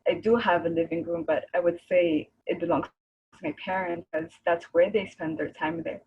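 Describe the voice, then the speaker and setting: A woman with a low, breathy voice chats on a video call. She rambles and searches for words, laughing easily as she goes. The call audio sounds muffled and dull. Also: steady tone, normal speed. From Egypt. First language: Arabic. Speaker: guest artist. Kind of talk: casual video call chat.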